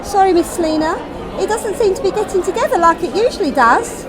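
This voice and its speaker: Woman putting on a high-pitched, animated dresser girl. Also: a little fast. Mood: apologetic, flustered. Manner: animated, deferential. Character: chatty, over-eager young female theatre dresser, apologetic. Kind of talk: acted short-story reading. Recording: loud crowd noise in public room.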